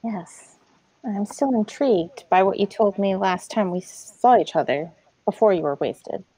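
A soft-spoken woman playing a tabletop roleplaying game, talking hesitantly in character. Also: medium pitch, expressive, measured pace, husky, no echo, low-quality recording. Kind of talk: tentative in-character game conversation. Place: room at home, on a group video call.

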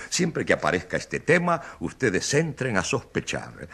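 Pompous populist politician, forceful and swooping. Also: male voice, on the high side, husky, full character voice. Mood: insinuating, scornful. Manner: grandiose oratory with dramatic repetition. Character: pompous, evasive populist politician defending himself in court. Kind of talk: radio drama courtroom acting.

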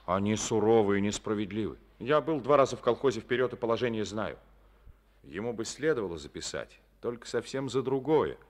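An actor playing a firm, persuasive middle-aged party secretary in an old Soviet radio drama. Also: male voice, on the low side, expressive, brisk, lightly acted.

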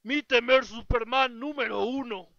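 A man's voice: very high voice, unhurried, gravelly, dull audio, background noise, full character voice. A man with a Latin American Spanish accent voicing a dim-witted, childish, blunt superhero clone who talks in broken grammar, in a video game fandub. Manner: broken caveman grammar with bare infinitives.

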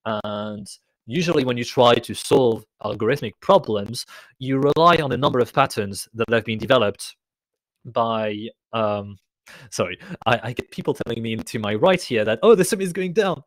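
A man's voice: mid-range voice, dry recording, rough recording. Chatty man hosting a live Q&A. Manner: chatty, rambling, self-correcting. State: voice getting raspy. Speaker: conference host. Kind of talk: casual live Q&A moderation.

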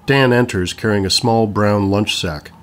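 Low-pitched male narrator. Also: measured pace. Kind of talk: scripted narration of stage directions.

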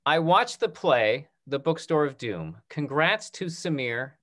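Man, thirties, slightly high voice, panel moderator, halting restarts, casual video-call hosting. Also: big pitch swings, fairly bright tone. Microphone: video call computer microphone.

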